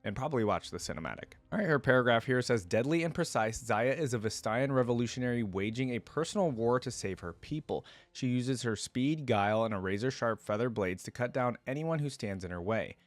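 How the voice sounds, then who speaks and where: A man's voice: medium pitch, expressive, brisk, few pauses, dry recording. A man hosting a reaction video, reading aloud and adding casual, conversational asides as he goes.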